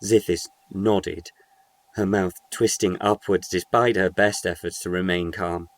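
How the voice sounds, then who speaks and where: A man's voice: low-pitched, fairly even intonation, measured pace, dry recording. A man reading scripted narration for a short audio story.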